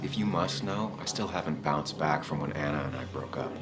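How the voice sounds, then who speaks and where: An actor playing a guarded former journalist in a video game, speaking tersely with hesitant, dry sarcasm. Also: male voice, very deep voice, fairly quick, over loud music. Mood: dryly sarcastic.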